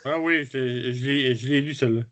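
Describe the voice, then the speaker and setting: A man's voice: medium pitch, normal speed, a bit bright. A man with a Quebec French accent chatting informally as a guest reviewer, in colloquial speech. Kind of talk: casual chat, review.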